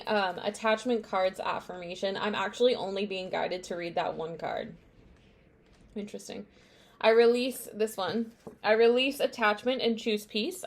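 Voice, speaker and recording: A young woman giving a casual tarot card reading, chatty and meandering, with many fillers and asides. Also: mid-range voice. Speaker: tarot reader.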